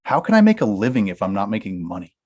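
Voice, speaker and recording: A man's voice: on the low side, huge pitch swings, fast, darkish tone. A man reading the part of a blunt, money-minded talent manager in a comedy table read. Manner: brusque, dismissive, laughs through lines.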